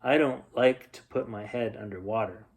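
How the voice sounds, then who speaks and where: A man voicing a shy, friendly little girl in a storybook, speaking gently and simply. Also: mid-range voice, moderate pace, husky. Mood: shy, wistful.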